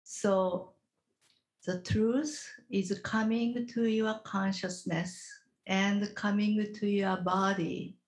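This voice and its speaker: A woman leading a guided meditation as a teacher, instructing gently in short, halting phrases with long pauses. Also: medium pitch, steady tone, rough recording.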